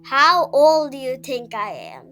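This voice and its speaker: Woman putting on a grumpy, bright-voiced fairy king. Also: sits high, measured pace, faint music behind. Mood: glum. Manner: glum, huffy. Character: grumpy ageing cartoon fairy king, easily offended.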